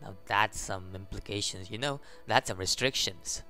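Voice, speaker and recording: Creaky-voiced man narrating a game. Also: on the low side, normal speed. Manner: stumbling while reading, reactive asides. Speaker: gaming channel host. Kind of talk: game commentary and reading aloud. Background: faint game music.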